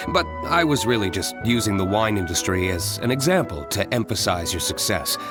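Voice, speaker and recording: Young male tycoon, anime game character, lowish voice, composed, formal, measured, shrewd, music underneath.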